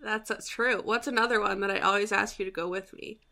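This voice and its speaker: A woman's voice: mid-range voice, steady tone, a little fast, sharp tone. A young woman co-hosting a book podcast, chatting casually and laughing, just woken up and a bit rambling. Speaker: podcast co-host. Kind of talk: casual chat.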